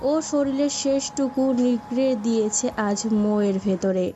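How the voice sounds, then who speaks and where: A woman's voice: a little high, moderate pace, mellow, slight background noise. A woman reading a scripted story as its narrator. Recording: dull muffled sound with music behind.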